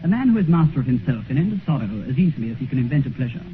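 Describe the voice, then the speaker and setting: An actor playing a vain, heartless young British gentleman in a theatrical, earnest, self-absorbed manner for a 1950s radio drama. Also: medium pitch, big pitch swings, very fast, close to an everyday voice. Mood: cold, dismissive. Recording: muffled 1950s radio recording, faint noise.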